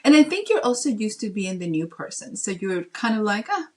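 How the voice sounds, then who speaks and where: A woman from the Dominican Republic and Massachusetts chatting casually as a guest author, with an animated storytelling manner. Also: mid-range voice, a little fast. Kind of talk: casual interview chat.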